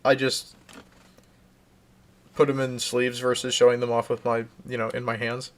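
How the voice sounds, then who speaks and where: A man's voice: mid-range voice, little pitch movement, normal speed. A man streaming live, casually chatting and reading out viewer comments, rambling and restarting his sentences.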